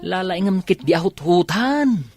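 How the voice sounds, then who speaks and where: An actor with an Ilocano Filipino accent playing a sly, teasing confidant in a radio drama. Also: male voice, sits high, animated, normal speed. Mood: conspiratorial.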